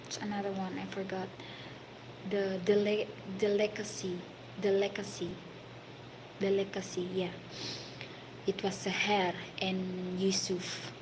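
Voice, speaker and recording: A woman from the Philippines with a Filipino accent, telling a story about her work in a halting, casual way, full of fillers and sometimes dropping to a whisper. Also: mid-range voice. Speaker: hospitality worker telling a story. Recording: noisy background.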